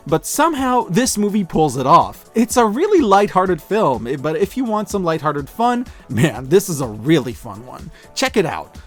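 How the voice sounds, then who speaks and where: A man hosting a scripted review in a casual, joking, wry way, with expressive, emphatic delivery. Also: fairly high pitch. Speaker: host of a year-end media review show. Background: music behind the voice.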